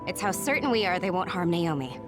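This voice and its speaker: A woman playing a shrewd, level-headed soldier in an anime-style game dub, with a measured, analytical delivery. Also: fairly low pitch, very animated. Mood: cool reasoning. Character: shrewd, calculating, level-headed young soldier, coolly pragmatic. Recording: loud music behind.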